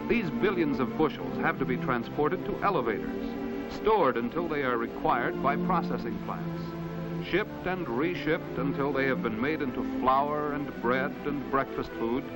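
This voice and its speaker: A man's voice: medium pitch, a little slow, low-quality recording. An American man delivering earnest, rhetorical narration in the proclaiming style of a 1950s educational film. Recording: vintage 1950s film soundtrack with loud music. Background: background music.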